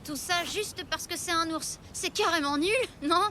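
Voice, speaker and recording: Very high, cheeky cartoon kid. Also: female voice, moderate pace, noisy background. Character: plucky stubborn prehistoric child, playful and cheeky. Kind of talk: French animated cartoon dubbing. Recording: music bed under the voice.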